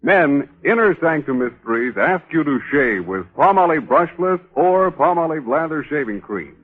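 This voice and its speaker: A man's voice: fairly high pitch, sing-song, normal speed, slightly rough, narrow, tinny audio. A man announcing and selling in the scripted style of 1940s radio. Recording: thin old radio recording.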